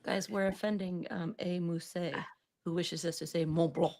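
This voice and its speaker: A woman's voice: fairly low pitch, fairly even intonation, normal speed, a little mellow. A woman guest on a casual livestream chat over a video call, playful and teasing.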